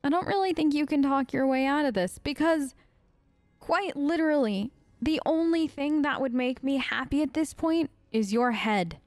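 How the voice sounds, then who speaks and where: A woman with a high voice plays through a game in character. She chats casually and sarcastically into a close mic, with faint game music behind her. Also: normal speed, darkish tone, no room sound. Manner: chatty, sarcastic. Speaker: gaming video host. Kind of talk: in-character role-play game commentary.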